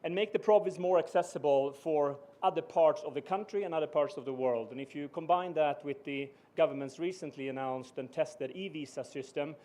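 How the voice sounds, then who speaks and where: A middle-aged man with a Swedish accent giving a measured, formal speech as a business executive, pausing often on "uh". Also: fairly high pitch, mellow. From Sweden. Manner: measured, with frequent "uh" pauses. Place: ceremony venue.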